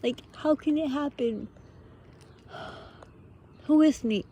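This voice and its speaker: A woman giving an earnest, unscripted spiritual pep talk in short, halting phrases, often posing rhetorical questions. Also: high voice, warm tone. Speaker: motivational speaker, vlogger.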